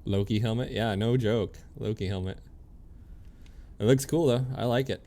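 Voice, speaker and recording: A man's voice: fairly low pitch, moderate pace, warm tone. A man giving casual livestream commentary on a video game, easygoing and chatty as he thinks aloud and reads out on-screen text. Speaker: game streamer.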